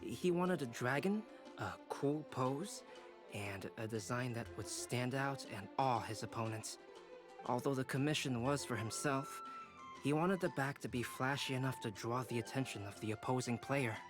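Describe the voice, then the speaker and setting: A man playing a composed, thoughtful, polite young artist in a video game, measured and articulate. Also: medium pitch, music in the background, lightly acted. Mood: calm, reflective. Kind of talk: video game character acting.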